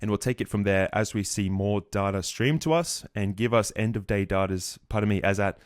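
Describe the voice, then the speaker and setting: A man giving a market recap over his trading charts, a bit croaky, walking through trades confidently. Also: lowish voice, no echo, clean studio sound. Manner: fast, confident chart walkthrough. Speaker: trading educator.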